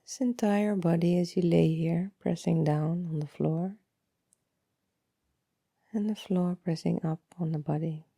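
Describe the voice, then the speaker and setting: A woman guides a body scan meditation in a lowish, husky voice, recorded close and dry. She is calm and unhurried, leaving long pauses between gentle instructions. Her soft, breathy delivery often drifts toward a whisper. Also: no echo.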